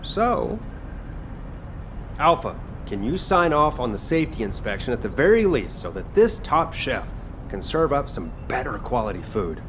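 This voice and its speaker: An actor playing a reluctant, casual chef fighter in a video game, informal and given to grumbling. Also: male voice, mid-range voice, very animated, normal speed, background noise, natural voice. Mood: easygoing.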